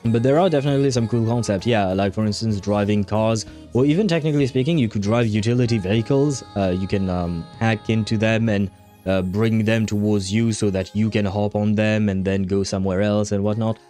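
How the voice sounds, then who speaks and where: A man hosting a casual livestream, talking in a rambling, halting way as he thinks aloud. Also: fairly low pitch, dark tone. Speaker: livestream host. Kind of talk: casual livestream chat over a game. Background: faint music.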